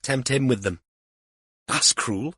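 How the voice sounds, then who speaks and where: Man playing woman officer, composed, wry, questioning, raised pitch, dry close recording. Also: slow pace, bright, forceful tone, husky, dry recording, full character voice. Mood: teasing.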